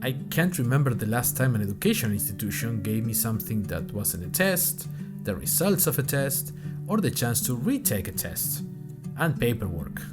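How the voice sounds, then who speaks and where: A man playing a bemused university student who narrates a first-person audio diary in a dry, musing, deliberate way. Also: medium pitch, sing-song, over music, natural voice. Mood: wry, musing. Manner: dry, wry, musing in short deliberate phrases. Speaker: narrator of a fiction podcast.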